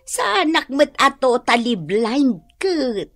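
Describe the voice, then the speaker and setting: A woman acting a gentle middle-aged mother in a radio drama, with an Ilocano accent. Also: sits high, very animated, takes it slowly, no echo, exaggerated voice. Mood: earnest, hopeful. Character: gentle ailing mother awaiting eye surgery.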